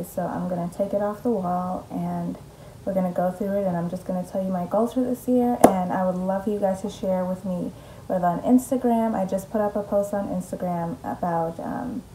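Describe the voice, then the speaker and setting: A woman's voice: mid-range voice, warm tone, slight background noise, poor audio. Chatty young woman vlogging at home. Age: young adult. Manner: chatty, upbeat, many ums. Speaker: mom vlogger. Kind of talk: casual vlog chat. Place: bedroom at home, indoors. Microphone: camera in front at close range.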